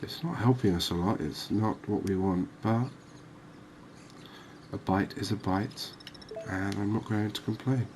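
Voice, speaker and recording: A man giving relaxed, unhurried ASMR-style commentary on a video game, musing conversationally as he goes. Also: lowish voice. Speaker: gaming video host. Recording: clearly noisy background ambience.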